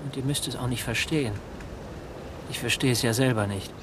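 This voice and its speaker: An actor playing a cryptic, earnest believer in a radio drama, solemn and measured. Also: male voice, medium pitch, noisy background, natural voice. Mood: solemn, convinced. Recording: music under the voice.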